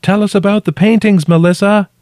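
A man's voice: high-pitched, fairly even intonation, brisk, no echo, clean studio sound, voice pushed up, lightly acted. Man acting an anxious man calling out urgently. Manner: projected, urgent shouting. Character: worried male companion shouting down to trapped woman, anxious, urgent.

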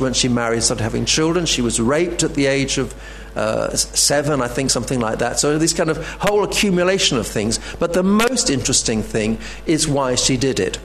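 A man giving an academic lecture, halting and full of fillers and restarts. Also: mid-range voice, a little hiss or hum.

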